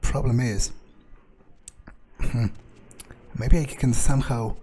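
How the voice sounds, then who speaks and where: Guy playing a foul-mouthed cautious vampire thinking out loud in a game, mellow, hesitant, bit of noise behind. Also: mid-range voice, fairly even intonation, takes it slowly, lightly acted. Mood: tense muttering. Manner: hesitant, muttering, profane. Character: wary, foul-mouthed male vampire musing on thefts. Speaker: let's player. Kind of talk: in-character gameplay commentary, thinking aloud. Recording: noticeable background noise.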